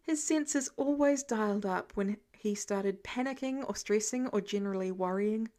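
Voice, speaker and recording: A woman's voice: mid-range voice, moderate pace, mellow. A woman reading scripted fiction aloud as an amateur audiobook narrator.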